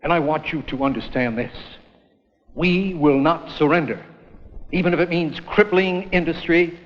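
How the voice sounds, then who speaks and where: An actor playing a stern, unyielding coal mine owner in an educational film re-enactment, pompous and curt. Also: male voice, fairly high pitch, normal speed, natural voice. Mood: haughty, defiant. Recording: muffled old film, reverberant room.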